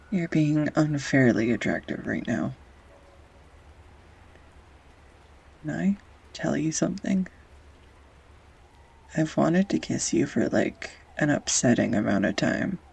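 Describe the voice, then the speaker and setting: A woman playing a grumpy, sarcastic young art student who shyly confesses love in an intimate romantic audio roleplay, halting and wry. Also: low-pitched, gravelly, husky, exaggerated voice. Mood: flustered, teasing. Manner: halting, wry, flustered, sighs and soft laughs. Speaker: voice actor.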